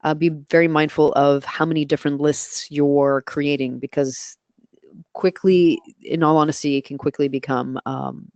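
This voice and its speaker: A woman's voice: low-pitched, fairly even intonation, moderate pace, a little mellow, no echo, highs cut off. A woman with a voice suited to radio, answering questions as a guest expert in a live webinar and explaining her methods step by step. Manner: practical, explains in steps with self-corrections.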